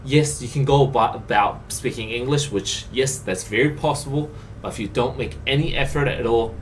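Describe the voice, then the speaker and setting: A man's voice: medium pitch, normal speed, a little hiss or hum. Blunt man, slightly distant mic. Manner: blunt, emphatic, repeats points. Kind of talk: casual opinionated podcast talk.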